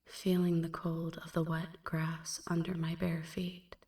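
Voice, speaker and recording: A woman playing a melancholy female vampire in an ASMR roleplay, recounting a dark past in a hushed, breathy, measured half-whisper. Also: sits low, completely flat delivery, echoey room, recorded from a distance. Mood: somber, haunted. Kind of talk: ASMR roleplay, slow dramatic first-person narration.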